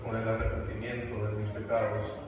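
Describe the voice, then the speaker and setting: A man's voice: fairly low pitch, completely flat delivery, moderate pace. Hesitant man in an echoey church hall. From Canada. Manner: hesitant, many fillers and restarts. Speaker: guest speaker on evangelism. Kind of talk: church testimony talk. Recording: echoey hall from far off, noisy.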